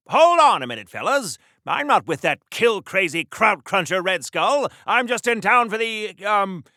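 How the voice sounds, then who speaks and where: An actor playing a pompous, chipper American superhero of the wartime era, in a campy, grandiose 1940s radio-serial style for a video game. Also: male voice, high-pitched, sharp tone, dry recording, studio quality, exaggerated voice. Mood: indignant, theatrical. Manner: grandiose, old-fashioned, wordy, theatrical.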